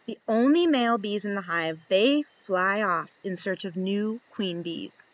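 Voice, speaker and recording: A woman reading a picture book aloud to young children, expressive and instructive, putting questions to her listeners and answering them. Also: medium pitch, a little slow, low-quality recording.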